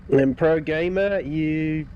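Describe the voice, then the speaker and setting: A man with a New Zealand accent chatting through a game live stream in a relaxed, rambling way with lots of fillers, his nose a bit stuffed up. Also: fairly high pitch, takes it slowly, dull audio, a little hiss or hum. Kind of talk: casual live-stream commentary.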